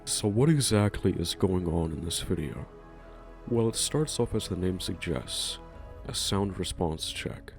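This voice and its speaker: A man's voice: sits low, lively intonation, measured pace, vocal fry, soft background music. A man narrating a ranking video in a scripted, enthusiastic way, swearing casually. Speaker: video host.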